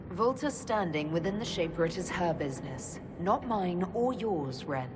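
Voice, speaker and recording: A woman's voice: fairly low pitch, expressive, noisy background, lightly acted. An actress playing a composed, inquisitive female elven mage leader in a video game cutscene. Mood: firm. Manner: measured, inquisitive. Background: game music.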